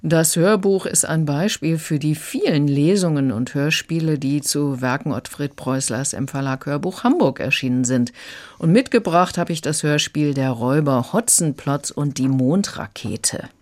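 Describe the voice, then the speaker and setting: A woman audiobook critic on a radio review show, calm and measured as she recommends titles. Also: sits low.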